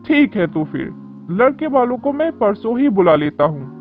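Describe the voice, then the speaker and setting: A woman voicing a stern, authoritative middle-aged uncle in an animated story. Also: a little high, moderate pace. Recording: dull lo-fi audio with music.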